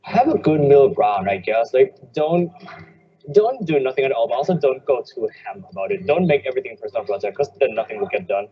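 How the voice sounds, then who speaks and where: A man's voice: medium pitch, big pitch swings, brisk, a little hiss or hum. A teenage boy student talking casually, with lots of "like" and "you know". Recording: dull lo-fi audio with crowd.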